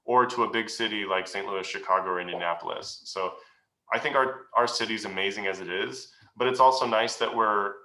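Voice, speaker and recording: A man's voice: fairly low pitch, sharp tone. Earnest, reflective man in an echoey room. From United States. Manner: reflective, earnest, halting with many pauses. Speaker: guest speaker. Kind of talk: answering questions at a meeting. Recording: roomy echo.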